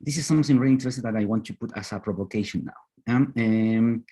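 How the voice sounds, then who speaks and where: A young man from Chile with a Chilean accent, a native Spanish speaker giving a loose presentation in halting, repetitive phrases. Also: medium pitch, warm tone, breathy edge. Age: young adult. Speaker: student panelist. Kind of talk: loose, semi-improvised presentation. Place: online video call.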